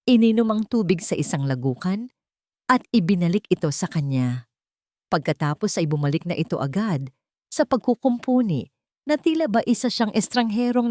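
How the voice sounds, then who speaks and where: Low-pitched woman narrating a dramatic story. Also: a little mellow, dry recording, clean studio sound. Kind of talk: scripted dramatic narration of a Tagalog love story.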